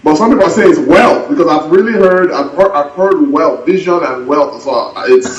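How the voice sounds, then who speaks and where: A man speaking as a pastor and guest in a casual interview chat, soft-spoken, laughing often and repeating words as he talks. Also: fairly high pitch, normal speed, lo-fi sound, slight background noise. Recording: echoey and distant from mic.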